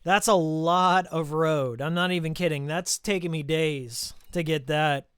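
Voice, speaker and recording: A man chatting casually while live-streaming a game, a little hoarse after hours of talking, rambling and reading out viewer names. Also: a little high, fairly even intonation, unhurried, a bit bright, no echo, clean studio sound. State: slightly hoarse from a long stream.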